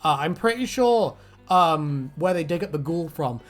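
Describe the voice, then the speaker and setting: A woman's voice: sits low, expressive, measured pace. Jokey, sweary woman chatting casually. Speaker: video co-host. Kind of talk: casual fan chat and commentary.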